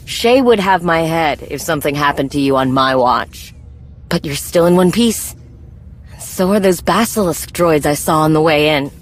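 Tough game warrior woman, low pitch, husky, blunt, earnest, loyal. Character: tough, vengeful yet loyal armored Mandalorian warrior woman. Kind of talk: video game cutscene acting.

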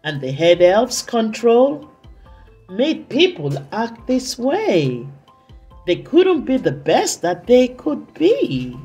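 A woman reading a children's story aloud in an expressive, dramatic, deliberate way. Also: a little high. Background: soft music.

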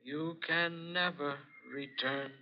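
A man's voice: a little high, fairly even intonation, slow pace. A man playing the solemn, foreboding ghost of a buried-alive ancestor in a 1940s radio horror drama. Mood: grimly final. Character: ghost of a great-grandfather buried alive, ominous, commanding. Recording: muffled vintage radio recording with music.

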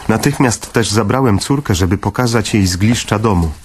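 A man narrating a literary audio drama from a script, with a husky voice. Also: lowish voice, moderate pace, a little hiss or hum.

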